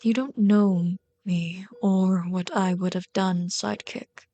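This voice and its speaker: A woman voicing a bitter, yearning female villain in an intimate ASMR roleplay, brooding and dramatic, slipping into breathy whispers. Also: medium pitch, fairly even intonation, slow, dark tone. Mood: scornful, impassioned.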